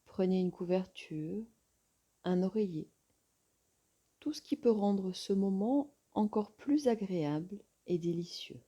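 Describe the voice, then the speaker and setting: A woman yoga teacher guiding a relaxation meditation in a soft, soothing, breathy voice with long pauses. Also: mid-range voice, expressive.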